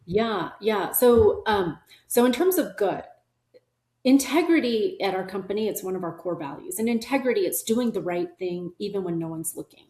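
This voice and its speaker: A middle-aged woman whose first language is Korean, speaking as a company leader in an interview, earnest and measured, often affirming with "yeah". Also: medium pitch, expressive, mellow. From South Korea, raised in Arkansas. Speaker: company CEO, podcast guest. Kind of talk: reflective conversational interview. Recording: roomy echo.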